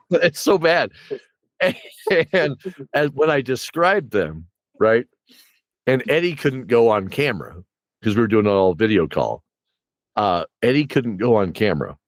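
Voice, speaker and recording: A man's voice: medium pitch, sing-song, measured pace, pauses often, dry recording. A man chatting casually as a game master, rambling through campaign stories and acting out what his players said. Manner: rambling, acts out players' reactions in quotes. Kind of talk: casual animated storytelling chat.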